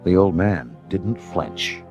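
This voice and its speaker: Very deep, gravelly male storybook narrator. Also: animated, a little mellow, lo-fi sound. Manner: dramatic, measured storyteller's delivery. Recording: music under the narration. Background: music.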